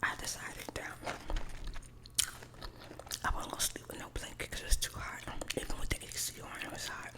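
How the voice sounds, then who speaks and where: A middle-aged woman tells a casual personal story in a breathy whisper, colloquially and with repeated emphasis, as in ASMR. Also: a little fast, pauses often.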